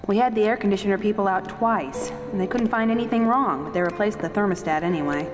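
An actress playing a conscientious, polite young bookstore clerk in an audio drama, earnest and insistent. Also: female voice, mid-range voice, quick pace, lightly acted. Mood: friendly. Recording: music behind the voice.

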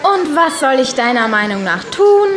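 A woman's voice: high voice, sing-song, normal speed, crowd noise. A woman playing a reluctant, unsure young schoolgirl in a children's audio drama, hesitant and put-upon. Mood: reluctant, indignant.

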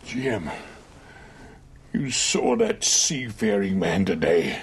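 An actor playing a sick, drunken old sea captain in a radio drama, muttering slow sailor talk in a raspy, gravelly voice, gruff and wheedling. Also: male voice, lowish voice, expressive, a little hiss or hum. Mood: anxious, conspiratorial.

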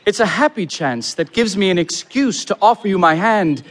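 A man playing an eager young gentleman, a smooth-talking romantic in a theatrical verse comedy, with ardent, flowery delivery. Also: high-pitched, expressive, moderate pace. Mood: ardent, pleading. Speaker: actor.

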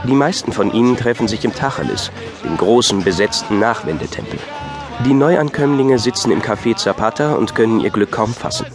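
A man with a fairly low voice narrates a scripted audio drama. He tells the story in a dryly wry manner, and music plays clearly behind him. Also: lively intonation, brisk.

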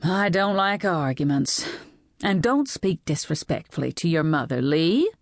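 Audiobook role: gentle grandmother, read by a woman, peacemaking, mildly chiding, slightly low voice. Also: lively intonation, normal speed, no room sound, studio quality. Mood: gently scolding. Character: gentle grandmother caught between daughter and granddaughters. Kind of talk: audiobook character voice.

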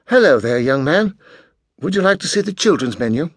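Man as young waitress, high pitch, timid, eager to please, audiobook character. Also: sing-song, fairly quick, no echo, studio quality. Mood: bright, friendly. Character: young waitress, friendly, then timid and flustered.

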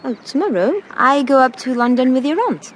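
A woman's voice: sits high, a little fast, slight background noise, close to an everyday voice. An actress playing an elegant, composed middle-aged mother in a radio drama. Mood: smooth, evasive.

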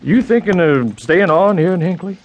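An actor playing a fair-dealing livery owner in an audio western, with an American accent and a folksy, halting delivery. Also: male voice, high-pitched, lively intonation, lo-fi sound, slight background noise. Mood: appreciative. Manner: folksy, hesitant with ums. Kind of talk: audio western drama acting.

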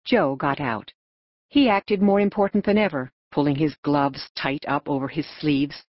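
Low-voiced woman narrating, dull sound. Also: normal speed, no echo. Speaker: audiobook narrator. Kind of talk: scripted narration of a children's novel. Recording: dull, narrow lo-fi audio.

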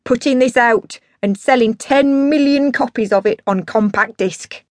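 A woman's voice: fairly high pitch, unhurried, a little mellow, no echo, pitched above the performer's own voice, lightly acted. A woman voicing a drunk, boastful, sweary middle-aged father with big musical dreams, in a bombastic, declamatory way, in an audiobook story. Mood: boastful, pleading.

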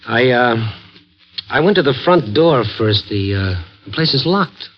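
An actor playing a streetwise, persistent reporter in an old radio noir drama, with a wry, clipped delivery. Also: male voice, mid-range voice, animated, unhurried. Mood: insistent. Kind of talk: 1940s-50s radio noir acting and first-person narration. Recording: muffled old radio recording, music behind.

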